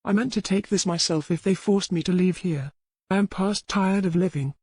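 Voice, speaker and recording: A woman's voice: on the low side, normal speed, a little mellow, breathy edge, dry recording. A woman voicing a loyal, world-weary old herbalist servant in a historical romance audiobook. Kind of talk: dramatic audiobook character reading.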